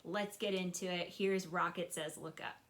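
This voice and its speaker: A woman's voice: lowish voice, steady tone, moderate pace, a bit bright. A woman speaking casually as a teacher hosting a picture-book read-aloud, with chatty, informal asides.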